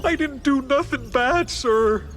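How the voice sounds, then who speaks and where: A man voicing a timid, jittery cartoon high-wire performer, polite and halting, with a nervous stammer. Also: a bit bright, soft background music. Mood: nervous, apologetic. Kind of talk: cartoon game voice acting.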